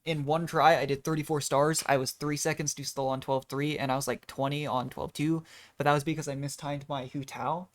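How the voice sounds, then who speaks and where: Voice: medium pitch, flat delivery, fairly quick. A game streamer rambling casually through gameplay commentary, thinking aloud in a self-deprecating way. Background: video game music.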